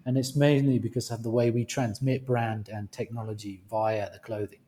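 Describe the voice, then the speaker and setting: A British man, a company founder, speaking as a guest on a remote interview call, with halting speech and frequent restarts. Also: on the low side, flat delivery, mellow. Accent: British English. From Britain. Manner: halting, restarts phrases often.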